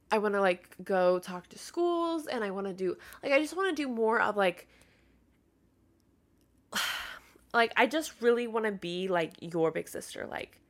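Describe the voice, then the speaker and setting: A woman's voice: mid-range voice, a bit bright. A young woman in her twenties hosting her own podcast in casual chat, sounding congested and nasal from being sick, filling her talk with "like". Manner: chatty, fills with "like". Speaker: podcast host.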